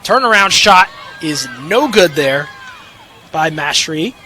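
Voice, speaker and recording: A man's voice: on the high side, lively intonation, takes it slowly, pushed and bright, faint music behind. A young man calling play-by-play sports commentary as an announcer. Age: young adult.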